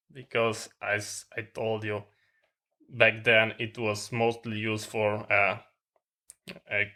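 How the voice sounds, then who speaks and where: Man, non-native English accent, bright forceful tone, slow pace, halting phrasing, informal tech vlog demonstration. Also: fairly low pitch. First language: not English. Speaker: tech vlogger.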